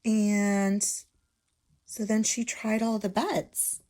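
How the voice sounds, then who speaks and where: A woman's voice: mid-range voice, flat delivery, drawn-out words, a little mellow. A woman teacher explaining things simply to young children, talking casually as she draws and adding little check-in questions. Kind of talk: casual instructional talk while drawing.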